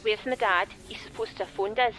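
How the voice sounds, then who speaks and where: A woman's voice: medium pitch, fairly quick, loud music behind the voice, close to an everyday voice, low-quality recording. A woman playing a resentful, confrontational teenage daughter in a naturalistic radio play. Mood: demanding. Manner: confrontational, demanding.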